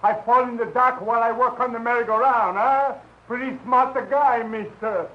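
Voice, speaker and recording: A man's voice: very high-pitched, fairly even intonation, normal speed. An actor playing an elderly immigrant father, speaking earnest broken English in a 1940s radio drama. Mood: accusing. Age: older. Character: elderly Italian immigrant father, gentle, brave. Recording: dull, hissy old radio recording.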